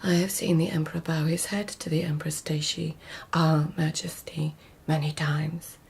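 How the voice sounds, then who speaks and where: Radio drama lead: a guarded, passionate imperial lady-in-waiting; lowish, creaky voice, hushed and confiding, slipping into whispered asides. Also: little pitch movement, normal speed, heavily acted. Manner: intimate, hushed, often breaking into whispered inner narration. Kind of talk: literary radio drama acting.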